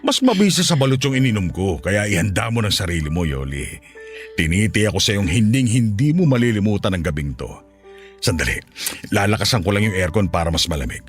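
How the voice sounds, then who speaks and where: An actor playing a lustful, coaxing middle-aged Filipino widower in a Tagalog radio drama. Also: male voice, on the low side, big pitch swings, fairly quick, faint music behind. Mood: boastful, eager. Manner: suggestive, coaxing, chuckling seducer.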